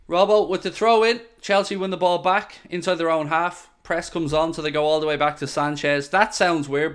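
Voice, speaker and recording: A man's voice: fairly high pitch, smooth. A man hosting a live football watchalong, calling the play and joking with his viewers. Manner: excitable, jokey, addresses viewers directly. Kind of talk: live match commentary.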